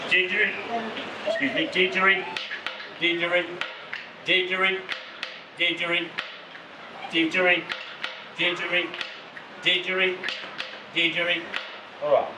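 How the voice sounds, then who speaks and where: A man's voice: sits high, level tone, normal speed, pauses often. A man teaching didgeridoo to a live audience, playfully drilling sounds over and over. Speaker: didgeridoo instructor. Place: tourist show venue. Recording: roomy, distant from mic, noisy.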